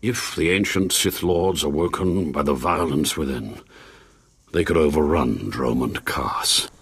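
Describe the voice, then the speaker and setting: Husky, deep Sith lord. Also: male voice, a little slow, a bit bright, no echo, exaggerated voice. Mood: grave, ominous. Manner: formal, theatrical, ominous. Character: grave, anxious Sith lord scholar, older man. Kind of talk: video game cutscene acting.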